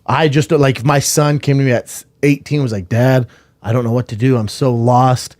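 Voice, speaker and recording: A man's voice: mid-range voice, fairly even intonation, normal speed, darkish tone, no room sound. A man co-hosting a podcast, blunt and joking in casual chat, swearing freely. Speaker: podcast co-host.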